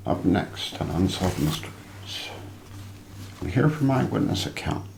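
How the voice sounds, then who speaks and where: A deep-voiced man with heavy vocal fry narrates mysteries in a hushed ASMR style. He moves slowly and deliberately, building suspense. The recording is roomy, with light background noise. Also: expressive. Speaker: narrator and host of an ASMR role play.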